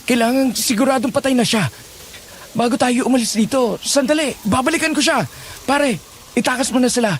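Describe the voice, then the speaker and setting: A man's voice: extremely high pitch, fairly quick, slight background noise. A man playing a cocky, fearless young monster slayer in a horror audio drama, speaking with boastful swagger. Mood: urgent.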